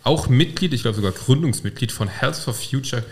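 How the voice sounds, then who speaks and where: A man co-hosting a political podcast, asking curious questions in a relaxed interview chat. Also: mid-range voice, brisk. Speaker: podcast co-host.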